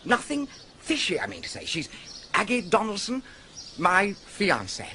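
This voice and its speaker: Breezy young toff: bright, swooping and evasive. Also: male voice, sits high, wildly swooping pitch, normal speed, a little hiss or hum, full character voice. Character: breezy, dim-witted young aristocrat son.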